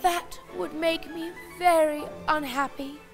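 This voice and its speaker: Woman acting a high, dainty china princess. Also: steady tone, takes it slowly, creaky, faint music behind, exaggerated voice. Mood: earnest. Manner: prim, genteel. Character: dainty, proper china princess, young female.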